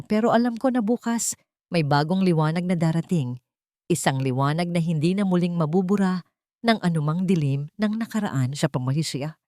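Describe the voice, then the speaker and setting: Calm woman narrating a drama story. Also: lowish voice, no echo, clean studio sound. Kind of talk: scripted first-person storytelling.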